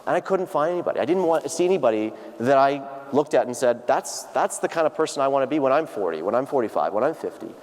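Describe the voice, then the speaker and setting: A man's voice: medium pitch, expressive, fast, hardly any pauses, a little mellow. A man in his thirties speaks earnestly to a large audience, telling a personal story with frequent restarts and fillers. His voice sits in the middle of the male range, and the lecture hall around him adds a long, trailing reverb. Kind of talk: plenary lecture to students.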